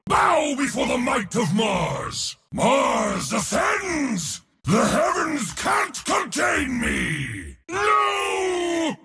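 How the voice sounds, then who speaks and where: An actor voicing a proud, pompous god of war for a video game, with a theatrical, commanding military delivery. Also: male voice, extremely high pitch, wildly swooping pitch, extremely slow, creaky, hardly any pauses, pushed and bright, raised voice, big-room sound, heavily acted. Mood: outraged. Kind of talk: video game hero voice lines.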